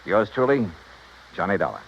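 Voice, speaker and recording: An American actor playing a tough, clipped, wry insurance investigator in an old-time radio detective drama. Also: male voice, lowish voice, big pitch swings, moderate pace, gravelly, a little hiss or hum. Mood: wry, satisfied. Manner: clipped, wry, hard-boiled. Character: tough, hard-driving male insurance investigator. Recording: muffled old radio recording with music.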